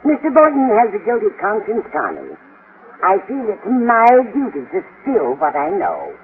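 A woman's voice: mid-range voice, huge pitch swings, moderate pace. A woman playing a motherly, gossipy older landlady with a fussy, confiding manner in a 1950s radio comedy. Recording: tinny, noisy old radio broadcast recording.

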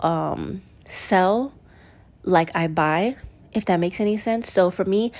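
A woman's voice: mid-range voice, a little mellow. Chatty congested woman podcaster. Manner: chatty, candid, acts out quoted voices. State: congested, sniffly. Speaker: podcast host. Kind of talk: casual solo podcast talk.

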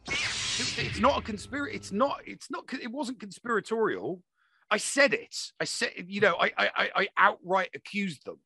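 Male gaming podcast talker: forceful, gravelly, emphatic, repeats for stress, dry sound. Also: high voice, normal speed, no room sound. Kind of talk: opinionated casual discussion of a mobile game.

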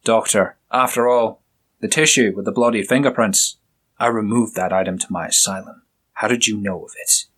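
A man's voice: on the low side, moderate pace, fairly bright tone, slightly rough. A man doing a curt, haughty police inspector. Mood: stern. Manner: curt, commanding, condescending. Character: stern, dismissive police inspector, middle-aged man.

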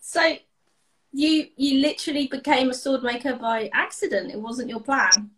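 A woman hosting a podcast, introducing and interviewing in a clear presenting role. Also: sits high, normal speed, fairly bright tone, rough recording.

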